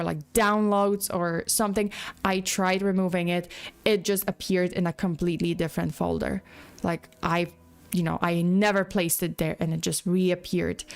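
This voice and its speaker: A woman hosting a casual livestream, chatting and rambling, greeting viewers by name as her voice starts to go. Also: mid-range voice. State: losing her voice. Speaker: livestream host.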